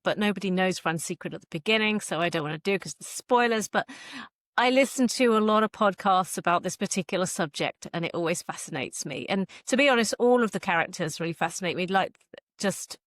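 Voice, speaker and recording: A woman's voice: mid-range voice, a bit bright, no echo. A woman speaking as a guest author in an interview, answering in a casual, chatty, conversational way.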